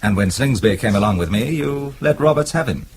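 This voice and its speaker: A man's voice: mid-range voice, lively intonation, a little fast, close to an everyday voice. An actor playing a level-headed, wryly curious man caught up in espionage, polite and questioning, in a radio drama. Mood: probing. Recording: noisy radio drama recording.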